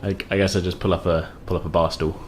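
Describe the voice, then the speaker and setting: A man's voice: low voice, level tone, fast talker, a little hiss or hum. A man chatting casually as a player in a tabletop roleplaying game.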